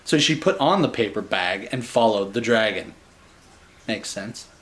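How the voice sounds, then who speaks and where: A man reading a children's bedtime story in an animated style, with wry, joking casual asides. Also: lowish voice, measured pace.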